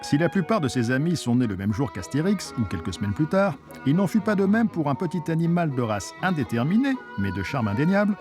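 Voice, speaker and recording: A man's voice: fairly high pitch, big pitch swings, brisk, over music. A man reading a scripted documentary narration as its narrator.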